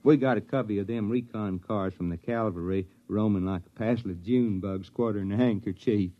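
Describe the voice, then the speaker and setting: Actor as a folksy Southern corporal, dull recording. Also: male voice, lowish voice, a little fast, lightly acted. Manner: drawling, folksy, unbothered. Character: folksy, simple, loyal rural army corporal. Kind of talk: 1950s radio drama acting. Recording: dull vintage radio recording.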